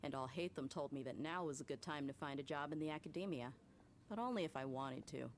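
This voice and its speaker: An actress playing a tough, proud young desert mercenary in a video game, speaking in a breezy, self-assured way. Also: female voice, low-pitched, fast, slight background noise. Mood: reflective.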